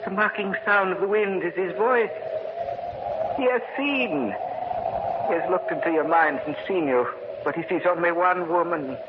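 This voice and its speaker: A man's voice: high-pitched, normal speed, natural voice. An actor playing an eerie, formal old man who serves an unseen sinister master in an old radio horror play. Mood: ominous. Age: older. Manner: ominous, portentous, formal. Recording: lo-fi, noisy old radio recording.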